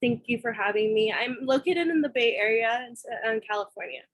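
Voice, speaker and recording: Woman, high voice, nervous and emotional, first time at book club. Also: brisk, dry recording. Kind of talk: casual video call intro. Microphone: video call.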